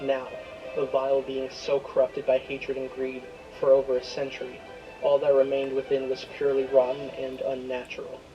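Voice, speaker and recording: A man's voice: medium pitch, completely flat delivery, normal speed, lo-fi sound. Man narrating a dramatic story over music. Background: prominent music.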